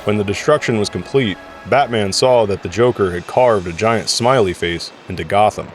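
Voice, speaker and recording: A man's voice: lowish voice, normal speed, soft background music. A man reading scripted story narration for an audio drama. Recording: faint ambient noise behind.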